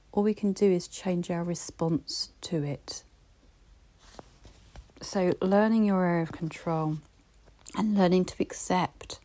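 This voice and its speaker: A woman with a British accent giving a reflective solo podcast talk, gently encouraging and speaking straight to the listener. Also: on the low side. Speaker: podcast host.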